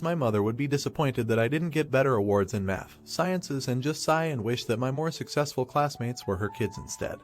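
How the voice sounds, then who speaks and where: Fast-reading male story narrator. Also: medium pitch. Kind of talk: reading a reddit story aloud.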